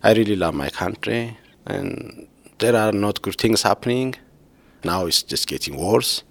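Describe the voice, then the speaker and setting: Soft-spoken low-voiced man. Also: lively intonation, a little slow, vocal fry. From Ethiopia. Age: 30s. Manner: soft-spoken, laughs often. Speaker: former PhD student, interviewee. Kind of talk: casual conversation.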